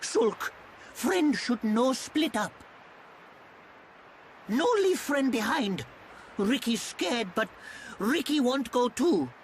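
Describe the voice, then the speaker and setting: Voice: medium pitch, expressive, slow, pauses often, slight background noise. An actor voicing a small, timid, furry creature who speaks in childlike broken grammar and calls itself by name, in a video game cutscene. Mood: earnest.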